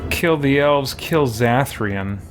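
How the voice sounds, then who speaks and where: A man's voice: medium pitch, lively intonation, slow. Casual male game streamer, music behind. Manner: hesitant, rambling, thinking aloud. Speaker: gaming streamer. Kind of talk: casual chat while playing.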